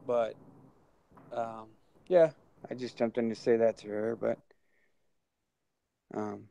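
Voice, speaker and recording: A man's voice: mid-range voice, level tone, takes it slowly, vocal fry, warm tone. A man chatting casually in an online group hangout, asking lots of questions. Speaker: regular hangout participant.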